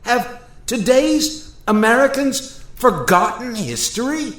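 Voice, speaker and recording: A man plays an indignant statesman from America's founding in a radio play. His high, very animated voice orates formally and emphatically, with a roomy echo around it. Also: slow, exaggerated voice. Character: indignant Thomas Jefferson, aghast at modern America. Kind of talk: amateur radio play acting. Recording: roomy, echoey voice recording.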